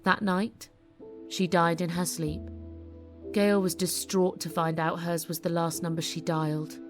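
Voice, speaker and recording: A woman's voice: on the low side, little pitch movement, measured pace. A woman giving scripted, dramatic story narration as an audiobook narrator. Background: music.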